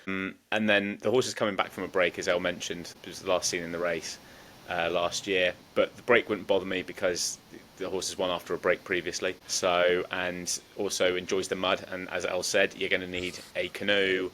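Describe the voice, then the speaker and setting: A man co-hosting a podcast, chatting in a relaxed, rambling way about his racing picks, with lots of ums. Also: sits low, moderate pace, few pauses, a little hiss or hum. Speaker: podcast co-host. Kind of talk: casual horse racing tipping chat.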